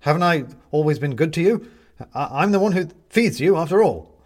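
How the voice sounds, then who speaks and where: A man voicing a tiny shrunken man who talks high and anxiously, pleading. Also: animated, normal speed.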